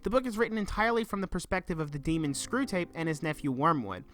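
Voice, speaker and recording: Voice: medium pitch, animated, fast, fairly bright tone. A young adult giving an earnest, reflective scripted narration for a video essay. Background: background music.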